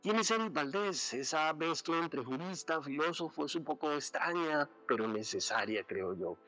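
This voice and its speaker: A man hosting a university interview program, introducing his guest with pauses and fillers. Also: fairly high pitch, expressive, sharp tone, soft background music, poor audio. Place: outdoors in a city neighborhood.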